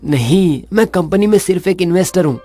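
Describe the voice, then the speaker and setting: A man's voice: high voice, lively intonation, fairly quick, mellow, faint music behind. An actor playing a friendly, practical male business partner in a Hindi audio romance drama. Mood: urging.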